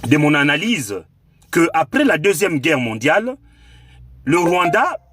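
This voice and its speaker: High-pitched, husky Congolese man, animated. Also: unhurried, no room sound. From Democratic Republic of the Congo. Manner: rhetorical, emphatic, repeats phrases for effect. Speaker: political commentator. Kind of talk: impassioned political monologue.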